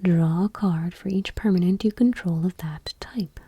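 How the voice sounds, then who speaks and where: A woman softly reading aloud for ASMR in a breathy, whispery voice with a hushed, gentle delivery. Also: lowish voice, normal speed. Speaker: ASMR video host.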